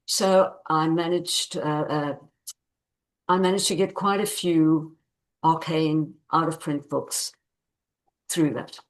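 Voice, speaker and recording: A woman speaks in a low register as an author being interviewed. She explains things conversationally and with measured care, leaning on repeated phrases to make a point. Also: steady tone, low-quality recording. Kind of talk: conversational interview answers about own book.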